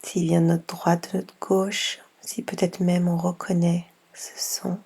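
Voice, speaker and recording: A woman guiding a yoga nidra session in a soft, half-whispered voice, unhurried, with long pauses between gentle instructions. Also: lowish voice, level tone, vocal fry, husky. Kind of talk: slow guided meditation.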